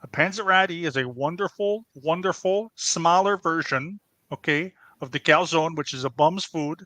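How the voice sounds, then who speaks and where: A man's voice: fairly high pitch, moderate pace, sharp tone, no echo, rough recording. A man playing a blustering, defensive small-time crook and pizzeria owner with a Chicago accent, in improvised comedy banter. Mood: proud, boastful. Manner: blustering, defensive, repeats himself, wiseguy phrasing.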